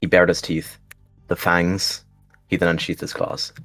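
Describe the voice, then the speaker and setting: A man's voice: low-pitched, little pitch movement, measured pace, a bit bright, faint music behind. A man narrating his character's actions in a descriptive roleplay game.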